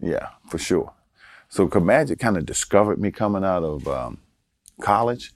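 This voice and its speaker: Older man, very low voice, relaxed storyteller, dry close sound. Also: moderate pace, no echo. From Baltimore, Maryland. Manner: relaxed storyteller, chuckles, repeats words for emphasis. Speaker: guest. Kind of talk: casual storytelling interview.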